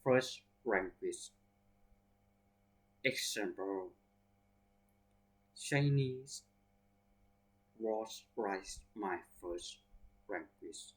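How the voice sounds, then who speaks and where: Male English teacher, Thai accent, careful word-by-word delivery that spells out letters, very slow pace. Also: lowish voice, breathy edge, rough recording. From Thailand. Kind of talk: reading vocabulary examples aloud.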